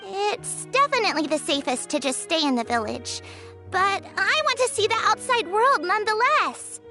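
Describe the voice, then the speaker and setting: A woman's voice: very high voice, animated, normal speed, over music. A woman voicing a small, earnest, grateful female village creature in an anime video game, speaking politely.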